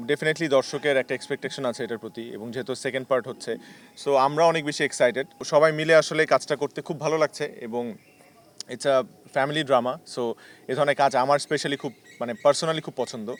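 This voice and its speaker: A man with a Bangladeshi accent, an actor answering casually in an interview and mixing in English words. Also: medium pitch. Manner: mixes English words into speech.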